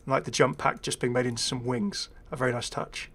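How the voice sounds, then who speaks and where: A man's voice: medium pitch, level tone, a little fast, a bit bright, husky. Chatty man casually commentating on a game. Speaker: gaming video host.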